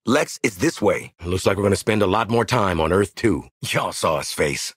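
A man's voice: fairly low pitch, animated, normal speed, breathy edge, no room sound, studio quality. A man playing a cocky, wry mercenary marksman in a video game, streetwise and sardonic, barking commands to his squad and swearing freely. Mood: wry, casual.

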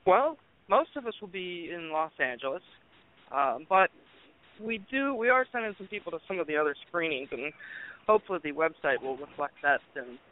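A film director from Colorado, talking casually and joking in an interview with plenty of fillers. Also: male voice, on the high side, very animated, moderate pace. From Colorado, USA. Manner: joking, talkative, thinks aloud with fillers. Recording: tinny phone line.

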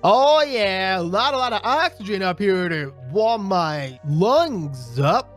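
An excitable young man co-hosts an improvised gameplay roleplay with music underneath. His pitch jumps around as he talks in a silly, repetitive way. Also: slow. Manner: silly, excitable, repeats phrases. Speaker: gaming video co-host.

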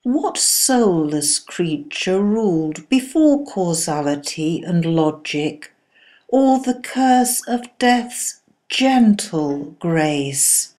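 A woman reciting poetry in a solemn, deliberate, dramatic and declamatory manner. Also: on the low side, very animated, a little mellow. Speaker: poetry reader.

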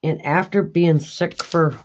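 A raspy, hoarse woman hosting a live craft tutorial, chatting with viewers and answering their comments while she works. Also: sits low, steady tone, slow, darkish tone, highs cut off, a little hiss or hum. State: hoarse after illness. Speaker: craft video host. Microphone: overhead camera on a craft table.

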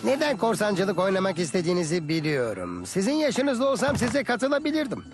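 Kindly elderly cartoon storyteller-teacher, raised pitch, speaking over loud music. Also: male voice, wildly swooping pitch, fairly quick. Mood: patient, instructive. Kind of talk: dubbed educational cartoon storytelling.

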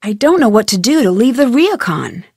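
An actress playing a curious, level-headed young female detective in an adventure game, speaking in an earnest, composed, clearly enunciated way. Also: on the high side, sing-song, moderate pace, a little mellow, dry recording, studio quality, exaggerated voice. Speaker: voice actor, game protagonist. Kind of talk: scripted adventure game dialogue.

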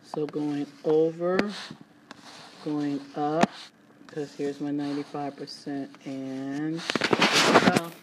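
Raspy low-voiced woman teaching statistics. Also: little pitch movement, slow pace, pauses often, very noisy. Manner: chatty, playful asides. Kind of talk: screencast math lesson. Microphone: tablet screen recording.

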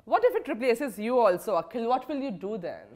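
A woman's voice: a little high, very animated, brisk, darkish tone. A woman in her thirties with an Indian accent, asking questions in a conversational interview. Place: indoor office lounge set.

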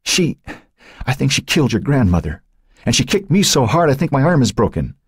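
A man voicing an injured, terrified teenage girl in an audiobook, tearful and pleading, with a choked, halting delivery. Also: medium pitch, sing-song, a little mellow, no echo, studio quality, pitched above the performer's own voice. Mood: pained, pleading. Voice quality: breathy, choked. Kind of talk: audiobook character acting.

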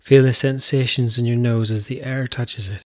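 Male meditation guide, mid-pitched voice, gentle instructional delivery, short phrases with space between them. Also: fairly even intonation, moderate pace.

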